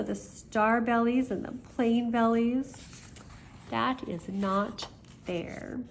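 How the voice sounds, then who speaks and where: An older woman reading a children's storybook aloud, with expressive emphasis and little asides to the listener. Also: mid-range voice, slight background noise.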